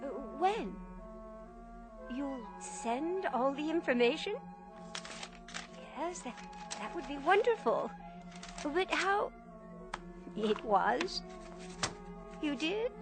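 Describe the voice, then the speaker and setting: An actress playing a sweet, eager, long-suffering older wife in a radio drama, solicitous and earnestly pleading. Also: female voice, high voice, sing-song, slow, long pauses, music in the background, heavily acted. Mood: flustered, thrilled.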